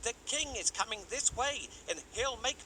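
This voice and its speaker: A man's voice: very high-pitched, lively intonation, moderate pace, sharp tone, a little hiss or hum, voice pushed up, full character voice. A man voicing a bold, sly talking cat from a children's storybook, in a theatrical, courteous and persuasive manner. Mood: urgent, commanding.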